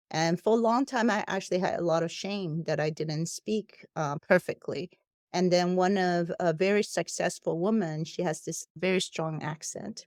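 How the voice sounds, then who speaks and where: A woman's voice: fairly low pitch, no room sound, studio quality. A woman with a non-native English accent, a company founder speaking frankly and laughing easily as a podcast guest. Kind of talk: casual podcast conversation.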